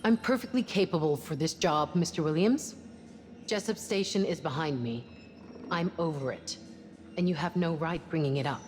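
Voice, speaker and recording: A wry, guarded woman doctor played by an actress on a spaceship crew. She speaks low, with a sardonic edge suited to game drama. Reverb hangs around her voice and music plays behind. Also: moderate pace, a little mellow, natural voice. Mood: defensive. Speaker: ship's doctor. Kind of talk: cinematic video game acting.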